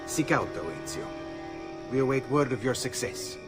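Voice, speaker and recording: A man voices an earnest Italian leader of thieves in a game cutscene. His medium-pitched voice has an Italian accent and a measured, courteous delivery. Music plays behind it. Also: lively intonation, close to an everyday voice. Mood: pleased, assured. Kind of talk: dramatic video game cutscene acting.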